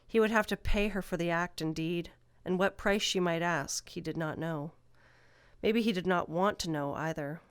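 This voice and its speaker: A woman's voice: lowish voice, normal speed, clear, resonant tone, no echo, studio quality. A woman narrator reading a scripted fantasy story.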